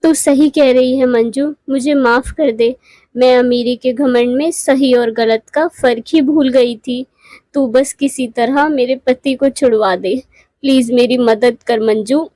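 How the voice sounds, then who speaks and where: A woman's voice: high-pitched, fairly even intonation, measured pace, mellow, no echo. A woman voicing a boastful, condescending rich elder-sister bird in a moral cartoon. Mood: remorseful, pleading. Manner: condescending, showy. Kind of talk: Hindi moral cartoon voice acting.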